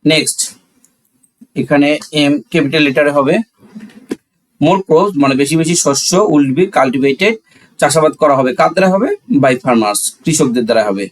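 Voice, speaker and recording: A man's voice: a little high, moderate pace, poor audio. A man with a Bangladeshi accent teaching a lesson step by step, repeating key phrases and asking questions that he answers himself. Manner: didactic, asks and answers own questions. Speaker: English grammar teacher. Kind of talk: classroom-style lesson explanation.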